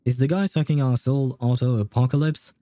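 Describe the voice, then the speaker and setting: A man's voice: medium pitch, steady tone, a little fast, mellow, no echo. A man hosting a livestream, chatting casually in a rambling way and often losing his train of thought. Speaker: livestream host. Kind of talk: casual game stream chat.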